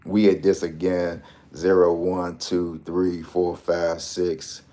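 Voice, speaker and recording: A man's voice: sits low, little pitch movement, slow, mellow. A man hosting a lottery tips vlog, talking in a casual, joking, rambling way full of slang and asides.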